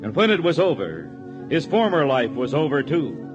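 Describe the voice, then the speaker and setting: A man's voice: medium pitch, wildly swooping pitch, moderate pace. A man playing a grand, portentous announcer of a 1940s radio adventure series. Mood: dramatic. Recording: muffled old radio broadcast, organ music.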